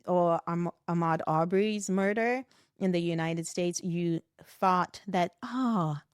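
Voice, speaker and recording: A middle-aged woman from West Africa, a podcast guest in a reflective conversation, telling animated stories, laughing often and acting out the voices she quotes. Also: lowish voice, slow, clean tone, dry recording, studio quality.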